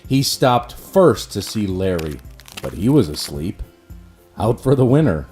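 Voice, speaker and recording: A man narrating a rhyming children's storybook in an expressive, measured way. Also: on the low side, soft background music.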